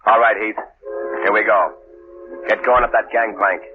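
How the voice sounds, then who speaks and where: A man's voice: lowish voice, steady tone, moderate pace, over music, close to an everyday voice. An actor playing a curt, threatening gangster henchman who barks orders, in a 1940s radio drama. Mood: bossy. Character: gruff male gangster henchman. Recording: tinny old radio broadcast.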